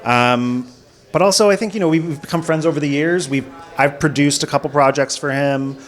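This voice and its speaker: A man's voice: a little high, a little fast. A middle-aged American man from Florida, a film producer speaking casually as an interview guest, often checking in with "right?". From Florida, United States. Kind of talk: casual panel talk.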